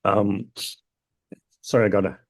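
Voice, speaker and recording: A tired man with a raspy voice asking a rambling, friendly question on a video call. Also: on the low side, little pitch movement, unhurried. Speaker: audience member asking a question.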